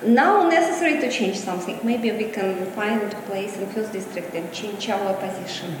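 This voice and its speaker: A middle-aged woman from Russia with a Russian accent, in halting non-native English that repeats words and restarts, giving a street interview as a boutique owner. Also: medium pitch, slight background noise. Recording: echoey long reverb, clear background ambience.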